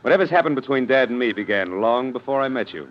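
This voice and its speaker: Breezy young rich son played by a man, vintage radio drama, mid pitch, dull noisy sound. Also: animated, fast talker, close to an everyday voice. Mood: uneasy. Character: breezy, lovestruck young heir, defiant son. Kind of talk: 1940s radio drama acting. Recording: muffled noisy old radio recording.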